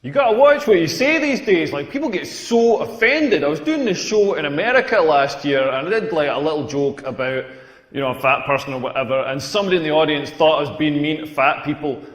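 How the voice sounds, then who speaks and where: High-pitched man doing stand-up, echoey hall. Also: lively intonation, brisk. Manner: rambling, crude, repeats himself for effect. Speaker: stand-up comedian. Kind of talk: stand-up comedy routine. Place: live comedy venue. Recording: echoey hall with long reverb.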